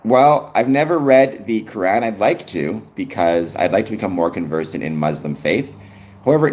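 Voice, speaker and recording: A man's voice: deep voice, a little mellow. A young Canadian man with a Canadian accent hosts his live stream in easygoing chat, answering viewers' comments with frequent ums. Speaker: livestream host.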